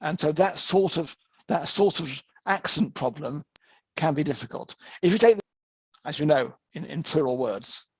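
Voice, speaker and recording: A man's voice: on the high side, expressive, moderate pace, breathy edge, no echo. Man lecturing over a dry video call. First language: English. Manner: conversational, illustrative examples with repeated phrases. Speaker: interpreting lecturer. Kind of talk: online master class lecture.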